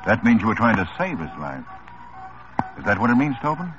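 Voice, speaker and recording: An actor playing a tough, wry police lieutenant in a 1950s radio drama, needling suspects with dry sarcasm. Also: male voice, on the low side, very animated, a little fast. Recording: dull old radio broadcast with music.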